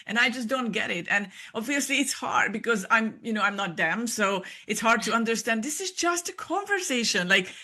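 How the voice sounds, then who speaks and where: A woman's voice: a little high, expressive, normal speed, few pauses, sharp tone. A woman from Hungary speaking English with a Hungarian accent as a podcast guest, earnest and encouraging. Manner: earnest, encouraging, some restarts. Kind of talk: casual interview chat.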